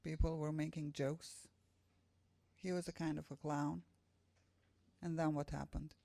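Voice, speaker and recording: A woman's voice: sits low, little pitch movement, a little slow, vocal fry, warm tone, dry recording. A woman with an Albanian accent, a native Albanian speaker, giving a public talk and poetry reading as a guest poet. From Kosovo. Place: room with a live audience.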